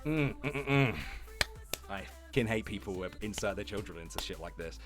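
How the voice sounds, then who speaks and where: A man's voice: on the low side, expressive, brisk, faint music behind. A man hosting a casual livestream, giving profane, irreverent running commentary and rants. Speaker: livestream host.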